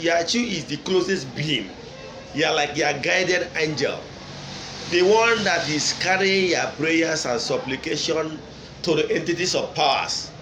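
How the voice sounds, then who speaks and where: High-voiced Nigerian Igbo man lecturing, echoey recording. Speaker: traditional religion teacher and online video host. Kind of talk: unscripted religious lecture to camera. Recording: echoey room with clear background noise.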